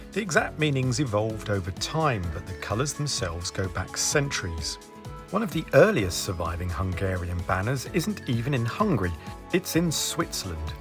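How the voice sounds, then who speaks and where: Male narrator, lively intonation, dry humor, music bed underneath. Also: medium pitch. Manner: dry, wry asides. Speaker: video host. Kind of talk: scripted narration. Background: background music.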